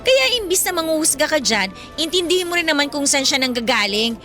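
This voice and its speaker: A high, sharp-voiced young Filipina girlfriend, played by a woman, who is outspoken and stubbornly loyal. She speaks emphatically in radio-drama style, over a music bed. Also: expressive, a little fast. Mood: defensive. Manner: assertive, emphatic. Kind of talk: radio-drama dialogue acting.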